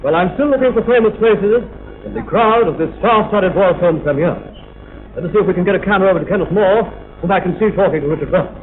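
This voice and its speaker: A man's voice: sits high, fast talker, lightly acted. A man playing an eager television reporter, doing live commentary in a comedy. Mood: eager, smooth. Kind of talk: parody of live broadcast commentary. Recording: thin tinny sound, faint background noise.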